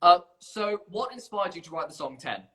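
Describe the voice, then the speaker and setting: A man's voice: high-pitched, lively intonation, normal speed, pushed and bright. A man hosting an informal live competition stream, chatty and self-deprecating, bumbling along as he presents. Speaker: competition livestream host.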